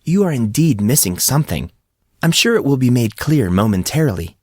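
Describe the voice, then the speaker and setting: A man's voice: medium pitch, sing-song, brisk, husky, no echo, clean studio sound. A man voicing a sly, poised young woman teacher with a girlish undertone, speaking in a cool, formal, knowing way.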